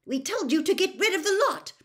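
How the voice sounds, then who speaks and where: A woman voicing a stern, bossy middle-aged witch mother with a sharp, scolding manner in a storybook reading. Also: very high-pitched, expressive, brisk, voice pushed up. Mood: furious.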